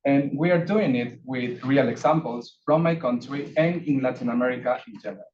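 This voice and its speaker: A man from Ecuador, a non-native English speaker whose first language is Spanish, speaking as a panelist, quietly and hesitantly, with many fillers and repeated words. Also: medium pitch, fairly quick. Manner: quiet, asked to speak louder. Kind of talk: prepared panel remarks. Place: conference side event. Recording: dull lo-fi audio with faint noise.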